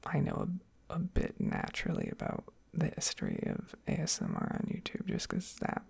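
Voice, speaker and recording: A young woman speaking softly in a breathy, mostly whispered ASMR style, rambling reflectively with hesitant pauses and asides. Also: a bass voice, huge pitch swings. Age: young adult. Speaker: ASMR video creator. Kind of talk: soft-spoken ASMR, rambling personal talk.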